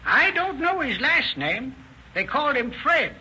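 High-pitched, folksy apartment tenant. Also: male voice, very animated, unhurried, pushed and bright, full character voice. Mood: earnest, chatty. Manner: folksy, ungrammatical speech. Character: talkative, well-meaning plain-spoken apartment tenant. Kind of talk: 1930s radio drama acting. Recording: dull, hissy old-time radio recording.

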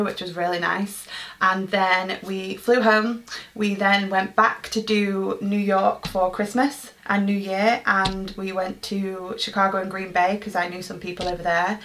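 A woman's voice: mid-range voice, a bit bright, rough recording. Earnest chatty woman. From Manchester, England. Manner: chatty and earnest, with frequent ums and restarts. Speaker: podcast host. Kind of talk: casual solo Q&A monologue.

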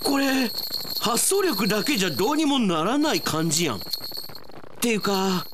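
Man acting excitable whiny young guy, wildly animated. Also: high voice, moderate pace, noisy background. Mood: bewildered. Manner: theatrical exclamations, sprinkles in English phrases. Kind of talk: comic radio drama acting.

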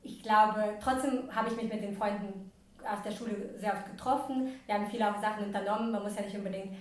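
A woman's voice: mid-range voice, steady tone, fast. A young woman from Ukraine, a podcast guest, talking casually with many fillers and restarts. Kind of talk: casual interview talk. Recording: echoey room, distant from mic.

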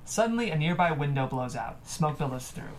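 A woman narrates a screenplay at a table read, reading the stage directions. Her voice is on the low side of medium, with slight noise in the recording. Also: big pitch swings, fairly quick.

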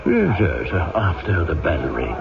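An actor playing a lecherous, oily factory boss in old-time radio style, hesitant and insinuating, with little chuckles. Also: male voice, low voice, wildly swooping pitch, a little slow, creaky, exaggerated voice. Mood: pressuring, smug. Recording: muffled old radio drama, loud music behind.

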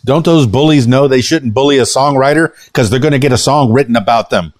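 A man's voice: medium pitch, brisk, no room sound. Chatty male podcast host, dry sound. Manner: chatty, rambling with frequent restarts. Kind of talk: casual podcast interview.

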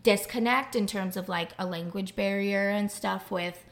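A young adult woman speaking candidly as a podcast guest, halting often with fillers and restarts. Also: medium pitch, creaky. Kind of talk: candid conversational interview.

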